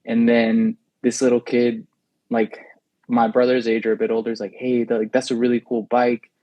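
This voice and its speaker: A man's voice: medium pitch, monotone, normal speed, dark tone, no room sound, lo-fi sound. Rambling young man, dry close sound. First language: Spanish. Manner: rambling storytelling with many fillers. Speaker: podcast co-host. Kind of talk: casual chat.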